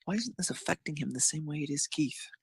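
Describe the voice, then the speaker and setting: A man's voice: on the high side, animated, fairly quick, vocal fry, slightly rough, dry recording. A man narrating a scripted piece of fan fiction as a storyteller.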